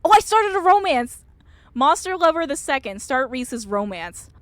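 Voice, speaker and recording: A woman streamer giving excitable, giggly, expressive commentary while playing a visual novel and reading it aloud. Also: high-pitched, moderate pace, no room sound. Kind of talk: live game commentary, reading dialogue aloud.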